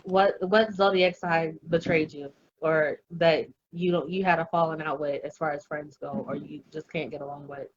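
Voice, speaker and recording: A woman's voice: low voice, fairly even intonation, moderate pace, hardly any pauses, muffled recording. A woman in her late twenties on a group video call, playful and giggly, asking the others questions. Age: late 20s. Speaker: guest.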